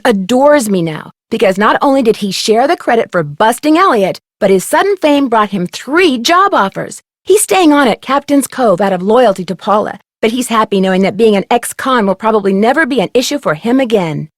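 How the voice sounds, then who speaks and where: A woman's voice: fairly high pitch, very animated, brisk, few pauses, no room sound, studio quality. A woman voicing a young amateur detective in a mystery game, polite and level-headed, telling things in an articulate, composed way. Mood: composed, wry. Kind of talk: scripted mystery game voice acting.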